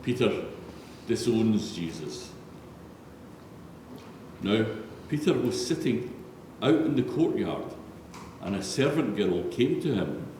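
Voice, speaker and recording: Slow, low-voiced man in an echoey church. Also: very animated, darkish tone. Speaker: narrator. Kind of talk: solemn scripture reading. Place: large reverberant church. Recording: very echoey church, faint noise.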